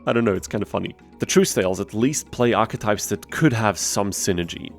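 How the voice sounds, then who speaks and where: A man's voice: on the low side, fairly quick. A man narrating gameplay from a script as a video game YouTuber, in a wry, sarcastic manner. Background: music.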